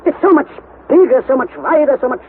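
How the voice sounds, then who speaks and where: Excitable old professor, very high-pitched. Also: male voice, a little fast. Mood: irritable, impatient. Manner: pedantic, exclamatory, irritable, repeating words emphatically. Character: excitable, impatient elderly professor uncle, obsessive naturalist. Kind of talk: vintage radio drama acting. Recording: tinny, band-limited vintage radio, faint noise.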